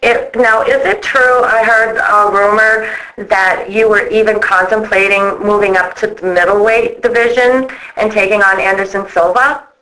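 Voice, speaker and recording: A woman's voice: medium pitch, steady tone, moderate pace, few pauses, sharp tone, poor audio. A woman asking questions in a casual phone interview, in an informal, chatty way.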